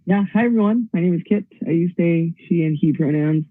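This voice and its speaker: A man's voice: a little high, brisk, no room sound. Give me an upbeat, eager man from Hawaii, a performer dialing in remotely, speaking with many fillers over a tinny, phone-like line with a dry sound. His introduction should sound enthusiastic. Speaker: poet and performer. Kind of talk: panel self-introduction.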